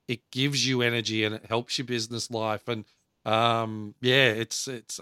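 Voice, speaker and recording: Baritone host, bright, lively storyteller, dry close sound. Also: male voice, smooth, dry recording. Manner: animated storyteller, acts out quotes. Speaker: podcast co-host. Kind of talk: casual podcast chat.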